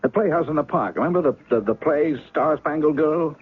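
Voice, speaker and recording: A man's voice: a little high, brisk, husky. An actor playing a guarded, suspicious man who claims to be a woman's ex-husband, in a 1970s radio drama. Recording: tinny old radio broadcast.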